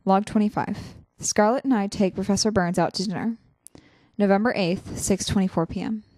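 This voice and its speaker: A woman's voice: mid-range voice, fairly even intonation, normal speed, a little mellow, soft background music, lightly acted. A woman playing a sarcastic young college student villain in a naturalistic audio drama, with a dry, teasing manner. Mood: matter-of-fact. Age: young adult.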